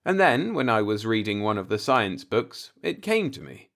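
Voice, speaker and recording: A man's voice: fairly low pitch, very animated, normal speed, fairly bright tone. A man voicing a cynical, musing older working-class man, a self-taught professor, in a folksy, rhetorical audiobook monologue. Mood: cynical, emphatic.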